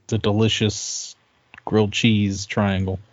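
A man's voice: lowish voice, flat delivery, unhurried, no room sound, dull audio. A man co-hosting a podcast, chatting casually about video games. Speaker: podcast co-host.

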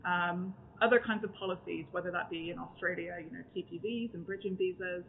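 A woman's voice: on the low side, steady tone, fairly quick, husky. A woman author answering questions at a book event, speaking in a thoughtful, academic way and searching for her words as she goes. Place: event room in front of an audience. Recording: tinny phone-like sound with faint noise.

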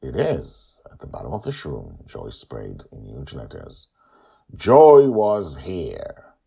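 A man with an extremely deep, husky voice hosts and tells a story. His pitch swings widely from low rumbles to emphatic peaks. He pauses for drama and slips easily into casual asides. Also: huge pitch swings, mellow. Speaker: storyteller, podcast host.

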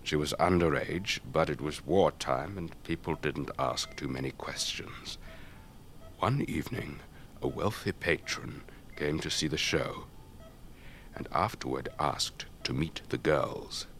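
A very deep, elderly English voice, that of a retired officer and urbane raconteur. He speaks slowly, with old-fashioned gentlemanly poise, as in a radio drama. There is some noise behind him. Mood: wry, storytelling. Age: older.

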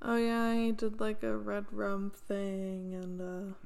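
A woman's voice: mid-range voice, monotone, slow, creaky, a little mellow. A woman co-hosting a casual TV review chat, matter-of-fact as she mildly pushes back. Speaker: podcast co-host.

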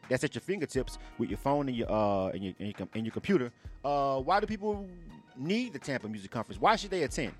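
Fast-talking male radio host over music. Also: mid-range voice. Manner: rambling, colloquial, repeatedly checking listener follows. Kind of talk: casual conversational radio interview.

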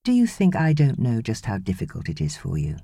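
A woman's voice: a bass voice, wildly swooping pitch, brisk, warm tone, slightly rough, dry recording, studio quality, exaggerated voice. A woman voicing an earnest, loving husband and father in an audiobook, pleading and persuading emphatically. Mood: exasperated concern.

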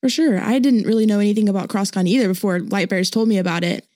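A young woman, a university student, answering interview questions in a relaxed, thoughtful way in a studio. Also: medium pitch, fast, no room sound. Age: young adult. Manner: relaxed and thoughtful, some ums.

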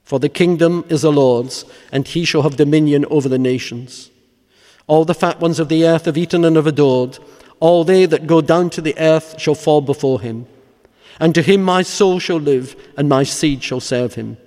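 A man solemnly reciting psalms, litanies and prayers in a measured, reverent, formal way as a prayer leader. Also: a little high, a little mellow. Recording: long echoing church-like reverb.

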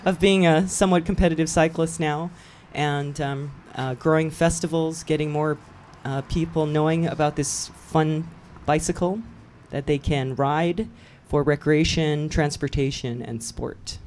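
A woman's voice: low-pitched, fairly even intonation, unhurried, darkish tone, slight background noise. A woman from Hawaii, a guest on a local radio show, telling her story in a chatty way and laughing easily. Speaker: guest, cycling event promoter. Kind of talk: casual radio chat.

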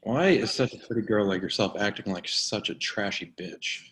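A man as a low-voiced, condescending cop. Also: moderate pace, a bit bright, slight background noise, lightly acted. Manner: patronising, menacing. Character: condescending, bullying male police officer. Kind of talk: screenplay table read.

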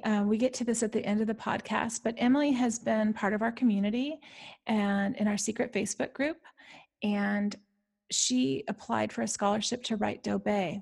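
A woman's voice: mid-range voice, creaky, darkish tone, breathy edge. A woman co-hosting a podcast, chatting casually and effusively in an informal way. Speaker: podcast co-host. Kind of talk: casual conversational interview chat.

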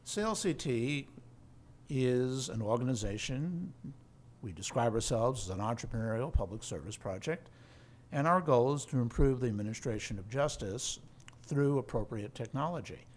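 A man's voice: medium pitch, animated. Articulate law professor man. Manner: measured, articulate explaining. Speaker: law professor and center director. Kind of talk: podcast interview.

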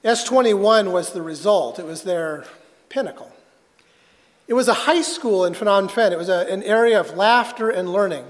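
A man preaching a sermon as a pastor, telling a personal story earnestly and haltingly, with dramatic pauses. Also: high-pitched. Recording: reverberant hall.

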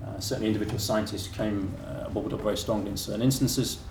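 A man gives a conference presentation in a lecture room, his lowish voice halting and full of fillers and restarts. He is picked up from a distance by the room mic, so the sound is roomy with a faint hiss underneath. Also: fairly even intonation, darkish tone. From England. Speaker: academic speaker. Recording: echoey room from far off with faint noise.

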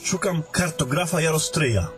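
Earnest young soldier, lively higher voice man acting. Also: normal speed, soft background music, close to an everyday voice. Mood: polite. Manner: formal, respectful. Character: young earnest male soldier, a dutiful polite knight captain. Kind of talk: fantasy audio drama acting.

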